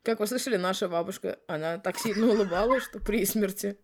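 A woman's voice: medium pitch, brisk, a little mellow. A woman co-hosting a podcast, moving between casual chat and reading story narration, playful, teasing and given to swearing. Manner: playful, teasing, foul-mouthed. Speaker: podcast co-host.

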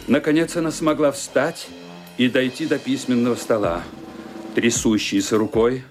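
A man delivering dramatic scripted narration as the narrator of a radio play. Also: medium pitch.

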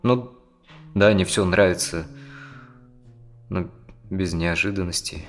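A low-voiced man in his thirties, tense and full of self-doubt. He speaks haltingly, like a worn-down therapy client in a naturalistic audio play, with music playing softly behind him. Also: fairly even intonation. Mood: anxious, hesitant. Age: 30s. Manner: hesitant, halting, sighing speech. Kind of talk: naturalistic audio drama acting.

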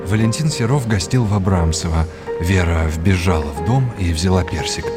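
A man's voice: sits low, expressive, moderate pace, over loud music. An actor playing a courteous, erudite male art historian in an audio drama, explaining and quizzing like a teacher. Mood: engaged, explanatory.

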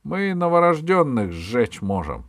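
A man voicing a vindictive, fanatical bishop from a storybook, shrill and indignant. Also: big pitch swings, measured pace, no echo, studio quality. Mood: vindictive, insistent. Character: fanatical, vindictive male bishop, eager to burn people.

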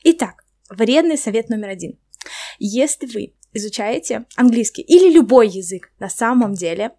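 A woman hosting a podcast interview who introduces the topics in a relaxed, friendly way. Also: fairly high pitch, moderate pace. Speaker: podcast host. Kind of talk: casual interview.

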